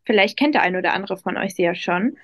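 A woman's voice: mid-range voice, lively intonation, quick pace. A young woman working as a youth reporter, asking upbeat, friendly interview questions. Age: young adult.

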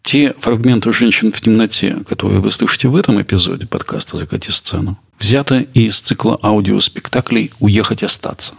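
Low, gravelly man hosting a podcast. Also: a little fast, few pauses, fairly bright tone. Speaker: podcast host. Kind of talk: presenting a culture podcast, narration.

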